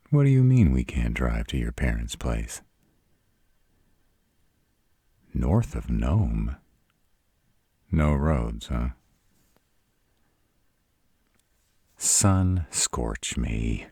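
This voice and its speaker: A man's voice: very deep voice, warm tone, husky, no room sound, full character voice. A man playing a sarcastic, proud city-bred vampire in a scripted audio roleplay, with dry, sardonic delivery. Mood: wry, sardonic. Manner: sardonic, dry, rhetorical questioning.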